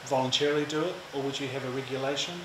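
A man moderates a public panel and presses the speakers with probing questions. His voice is at a middle pitch and echoes around a roomy lecture space. Air conditioning hums in the background. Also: level tone, rapid-fire, noisy background. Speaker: facilitator. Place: university lecture room.

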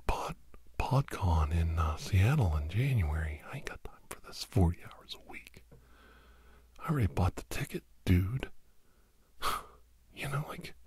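A middle-aged American man whispering a rambling, conspiratorial comic ASMR monologue in a halting way, breathy and close to the microphone. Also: sits low, a little mellow, dry recording. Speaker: podcast host. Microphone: close-up microphone, monitored on headphones.

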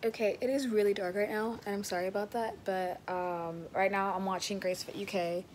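A teenage girl chatting casually to viewers in a vlog, her voice a bit raspy, filmed on a phone held close in a small bedroom. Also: mid-range voice, fairly even intonation, fairly quick, slight background noise. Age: late teens. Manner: chatty, rambling, addresses viewers as guys. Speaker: vlogger. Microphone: phone held close at arm's length.

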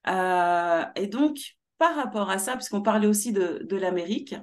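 A young woman from Paris with Martinican roots, speaking as a guest in an animated interview over a video call. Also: medium pitch, normal speed. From Martinique heritage, born in Paris. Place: small room at home.

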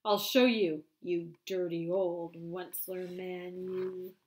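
A small, cranky old forest creature, played by a woman reading aloud, scolding in a very high, drawn-out voice. He sniffles and coughs between slow, mournful storybook lines. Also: fairly bright tone. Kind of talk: reading a picture book aloud.

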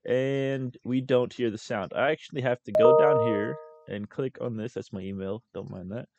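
A young man hosting a casual screen-recorded software tutorial as a voice-over. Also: mid-range voice, normal speed, creaky, dull audio. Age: young adult. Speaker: tutorial host.

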